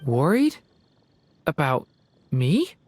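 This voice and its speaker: A man voicing a gentle young dragon boy in human form for an anime game, soft, youthful, hesitant and earnest. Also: a little high, huge pitch swings, drawn-out words, a little hiss or hum, heavily acted. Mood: apologetic.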